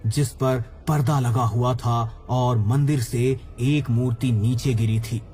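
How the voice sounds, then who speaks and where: A man's voice: mid-range voice, fairly even intonation, takes it slowly, dark tone. A man narrating a scripted horror story for a cartoon. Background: background music.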